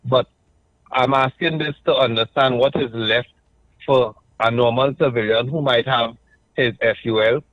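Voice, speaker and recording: A man's voice: medium pitch, fairly even intonation, no room sound. A man with a Trinidadian accent calling in to a radio talk show, arguing his point step by step and often checking in with "right?". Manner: measured, argues point by point with frequent "right?". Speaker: radio caller. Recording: muffled dry call-in line.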